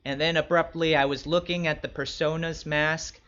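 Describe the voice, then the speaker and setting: A man plays a wary young escort telling his story in the first person. The voice is bright and forceful, a bit high, with a wry, measured delivery. The recording is slightly dull. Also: flat delivery, lightly acted. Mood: wary, suspicious. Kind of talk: first-person literary narration.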